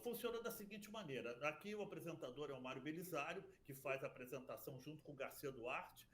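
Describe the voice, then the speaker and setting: A man's voice: a little high, animated, fast talker, a bit bright, a little hiss or hum. A man who works as a radio broadcaster and producer, talking in a chatty interview and telling stories with many restarts. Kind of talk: casual interview talk.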